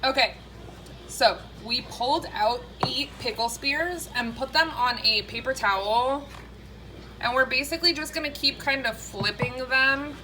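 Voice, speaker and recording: A woman's voice: sits high, a little slow. A woman in her thirties giving chatty, explanatory recipe talk in a casual vlog from her home kitchen. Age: 30s. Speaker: cooking vlogger. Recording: faint background noise in kitchen.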